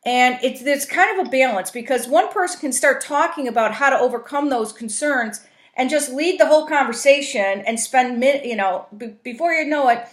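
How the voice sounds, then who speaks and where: Female trainer, higher voice, informal explanatory talk, checks in often, roomy conference-call sound. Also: normal speed. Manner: explanatory, often checks in with "right?" and "you know". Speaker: trainer, facilitator teaching a coaching tool.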